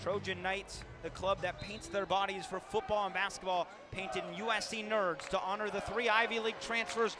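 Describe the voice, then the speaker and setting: A man's voice: high voice, fairly even intonation, normal speed, few pauses. A man doing live basketball play-by-play for radio, with vivid, punchy calls that lift on big plays. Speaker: play-by-play sports announcer. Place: indoor basketball arena during a game. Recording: loud arena noise, bouncing balls. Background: loud game ambience, ball bounces.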